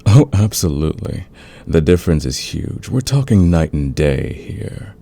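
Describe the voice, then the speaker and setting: A man playing a smug, flirtatious fantasy villain in a romantic ASMR roleplay, teasing and intimate with a husky, gravelly voice. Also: very deep voice, big pitch swings, mellow, full character voice. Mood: confiding, smitten. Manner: teasing, intimate, drawn-out confiding delivery. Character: flirtatious, smug male fantasy villain, secretly smitten rival.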